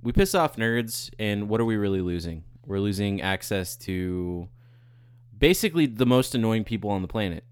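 A man's voice: lowish voice, moderate pace, smooth. A man with an Oklahoma accent, co-hosting a podcast in casual chat, ranting and swearing. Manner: profane, ranting, animated. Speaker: podcast co-host.

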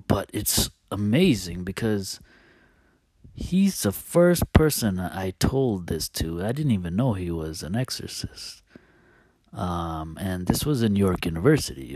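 Slow, very low-voiced man confiding a story. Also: darkish tone, dry recording. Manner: halting, hesitant, confiding. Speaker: host. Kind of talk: casual personal storytelling.

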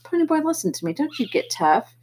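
A woman's voice: high voice, very animated, a little fast, darkish tone, pitched above the performer's own voice. A woman reading a story aloud, voicing a teenage boy who earnestly warns his younger friend. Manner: earnest, concerned.

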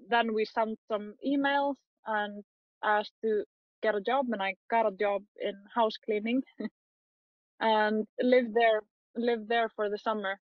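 A young woman photographer chatting in an interview, talking quickly with many fillers and frequent laughs. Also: fairly high pitch, dry recording, lo-fi sound. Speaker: photographer guest. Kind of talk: casual interview chat.

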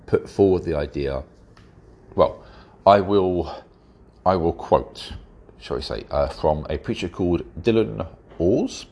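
Very deep, stuffy-nosed male podcaster. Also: a little mellow. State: congested, stuffy-nosed from hay fever. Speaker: podcast host. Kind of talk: solo podcast commentary.

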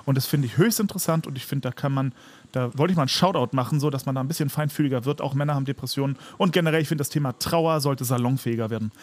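A man's voice: mid-range voice, very fast, no echo. A man co-hosting a podcast in casual chat, speaking emphatically and repeating words for emphasis. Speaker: podcast co-host.

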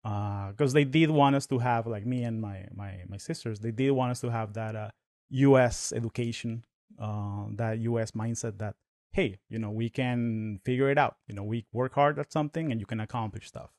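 A man in his thirties whose first language is Spanish, talking fast with many restarts as a guest in a casual podcast interview. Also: medium pitch, fairly even intonation, a little mellow, no echo, studio quality. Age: 30s.

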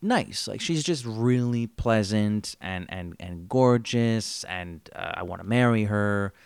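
A man's voice: lowish voice, lively intonation, slow pace, clean tone, dry recording. A man co-hosting a podcast, chatting casually about a miniseries, rambling and joking with frequent laughs. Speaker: podcast co-host. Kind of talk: casual chat reviewing a miniseries.

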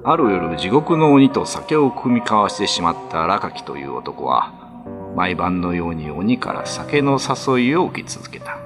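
A man tells an old ghost story as a radio narrator. His voice sits low and moves with lively expression, and music plays clearly underneath the scripted, dramatic reading. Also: unhurried. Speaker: storyteller, actor.

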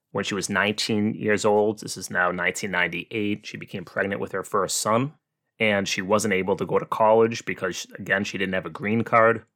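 A man hosting a commentary series, explaining things in a measured, opinionated way with some asides. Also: low-pitched, fairly bright tone. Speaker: host of a local politics series.

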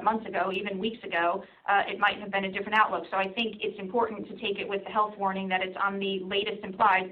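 Even-toned woman on a phone line. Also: mid-range voice. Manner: measured, careful, matter-of-fact. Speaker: finance executive answering analysts. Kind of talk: unscripted earnings call answers. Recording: thin phone conference line.

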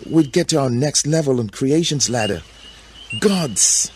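A man's voice: medium pitch, very animated, takes it slowly, a little hiss or hum, voice pushed up. A man voicing a seductive, daring woman in a coy, coaxing manner for a dramatic story. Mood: seductive, bold. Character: Eve, seductive, daring first woman, newly altered.

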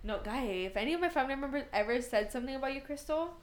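A woman in her twenties with a fairly high voice, telling stories in a casual chat. She rambles and leans on "like" while she finds her words. The sound is roomy and echoey. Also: animated, rapid-fire, a little hiss or hum. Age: 20s. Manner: rambling storyteller, fillers like "like". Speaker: podcast co-host.

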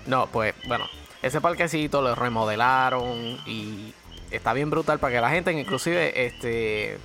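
A man tells a story in casual chat, speaking slowly and hesitantly with many fillers. His voice is bright and clear-edged. Faint music lies behind. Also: mid-range voice. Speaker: photographer guest.

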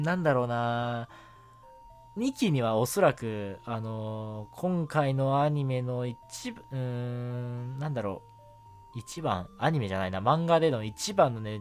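A man hosting a casual solo radio show, chatting politely with many small filler particles. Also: medium pitch. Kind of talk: casual solo radio talk about anime. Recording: music bed under the voice. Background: background music.

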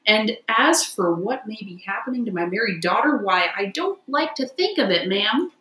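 A woman's voice: mid-range voice, lively intonation, moderate pace, pushed and bright, reverberant. A woman voicing a boastful male toad who poses as a humble washerwoman, chatty, wheedling and self-important, for a storybook. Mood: plaintive, ingratiating.

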